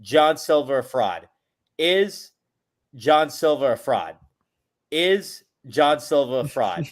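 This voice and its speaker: A man's voice: fairly high pitch, big pitch swings, slow pace. A man hosting a casual podcast chat. He rambles, restarts his sentences often and uses many fillers. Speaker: podcast host.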